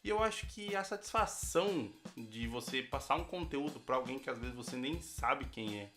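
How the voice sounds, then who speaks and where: A man's voice: medium pitch, lively intonation, moderate pace, bright, forceful tone, soft background music. A man with a Brazilian accent giving an informal recorded message as a guest podcaster.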